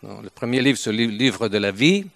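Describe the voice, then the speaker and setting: An Italian man in his seventies preaches in a halting, unhurried way, often pausing and restarting. His voice sits a little low, with a strong Italian accent. The sound is dry and close, with no room echo. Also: animated, creaky, fairly bright tone. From Italy. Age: 70s. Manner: halting, with frequent restarts and pauses. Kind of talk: informal sermon.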